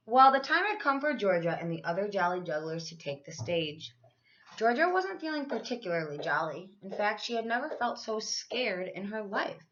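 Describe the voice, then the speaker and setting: A woman reads a picture book aloud to children in the manner of a teacher. Her voice sits at an average pitch and she tells the story expressively. The recording is slightly dull and lo-fi. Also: normal speed. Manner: animated, acts out dialogue. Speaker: teacher reading aloud to third graders. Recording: dull, lo-fi sound.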